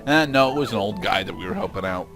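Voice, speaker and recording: Halting man commentating a game. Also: fairly low pitch, expressive, over music. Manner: halting, hesitant phrasing. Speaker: let's play co-commentator. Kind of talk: casual game commentary chat.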